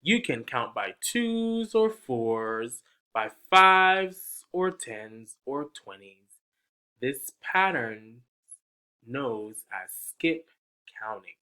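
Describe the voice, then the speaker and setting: Man, picture-book read-aloud for kids, very slow and sing-song, bright tone. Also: medium pitch, very animated, clean tone. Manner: slow, sing-song, coaxing questions to young listeners. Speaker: teacher reading aloud.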